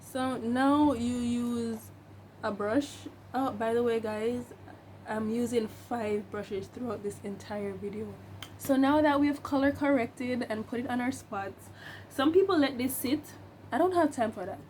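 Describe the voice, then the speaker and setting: A young woman, hoarse while getting over the flu, talks through a makeup tutorial in a chatty, emphatic way with joking asides. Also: a little high, measured pace, darkish tone, slight background noise. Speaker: makeup vlogger, licensed cosmetologist.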